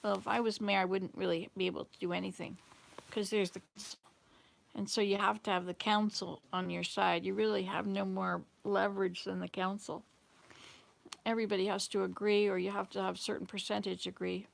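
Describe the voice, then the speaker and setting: A woman's voice: medium pitch, level tone, brisk, vocal fry. A woman with a Southern US accent chatting casually and answering viewer comments in a rambling way. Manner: rambling, jumps between thoughts. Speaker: livestream host.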